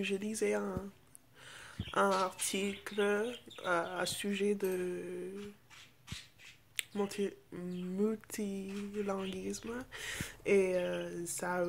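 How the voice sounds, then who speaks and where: A young American woman, a native English speaker, chatting casually to camera and haltingly searching for words in a second language. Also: mid-range voice, creaky, slight background noise. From United States. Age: teens to young adult. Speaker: vlogger. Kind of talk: casual unscripted chat to camera.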